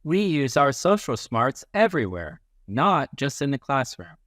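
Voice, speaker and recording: A man reading a children's book aloud as a teacher, in an expressive, instructive way that leans on key phrases. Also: medium pitch, unhurried, no room sound, clean studio sound.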